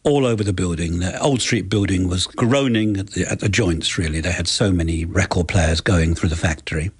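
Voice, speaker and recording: Low-voiced company chairman. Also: fairly quick. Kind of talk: reminiscing in an interview. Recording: vintage radio tape, music behind.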